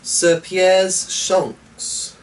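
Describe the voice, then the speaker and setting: A man's voice: high voice, level tone, extremely slow, pitched above the performer's own voice. A man voicing a spirited young noblewoman who goads her father with emphatic insistence in a storybook reading. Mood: insistent. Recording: distant mic with faint noise.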